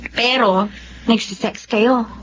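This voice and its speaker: A woman's voice: on the high side, slow pace. An actress playing a blunt, nosy young female friend with a Filipino accent, probing and scolding in a radio drama. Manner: probing, animated. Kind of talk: Tagalog radio drama acting. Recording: dull lo-fi track with background noise.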